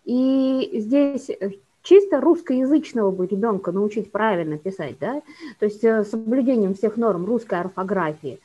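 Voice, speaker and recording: A woman talking as a guest educator about correcting children's speech sounds, in a measured, explanatory way. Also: medium pitch, expressive, warm tone. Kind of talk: explanatory conversation. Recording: dull lo-fi recording.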